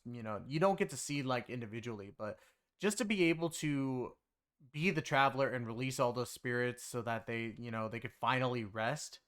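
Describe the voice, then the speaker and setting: A man's voice: medium pitch, fairly bright tone, clean tone. A man giving a casual, rambling game story review in a conversational way, leaning on filler phrases. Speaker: game reviewer and content creator.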